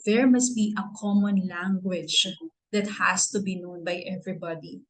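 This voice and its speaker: A woman's voice: mid-range voice, steady tone, normal speed, low-quality recording. Earnest Filipino woman giving advice. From Philippines. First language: Tagalog. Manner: earnest, preachy, asks rhetorical questions. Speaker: guest speaker. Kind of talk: motivational talk.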